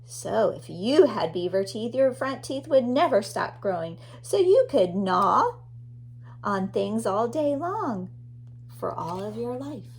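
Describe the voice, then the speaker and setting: A woman's voice: fairly high pitch, sing-song, takes it slowly. A woman teacher leading a read-aloud lesson for young children, explaining things and repeating key words for emphasis. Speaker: elementary teacher reading aloud.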